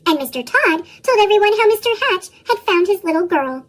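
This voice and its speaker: A woman's voice: animated, brisk. A woman reading children's storybooks aloud expressively, her voice shifted up with a chipmunk effect. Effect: chipmunk-style pitched-up voice. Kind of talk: expressive read-aloud narration.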